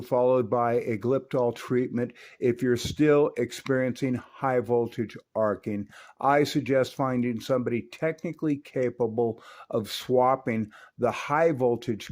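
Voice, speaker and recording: A man's voice: medium pitch, dry recording. Man giving a conversational radio repair tutorial, dry sound. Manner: conversational, explanatory, talks directly to viewers. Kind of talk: informal hands-on technical tutorial.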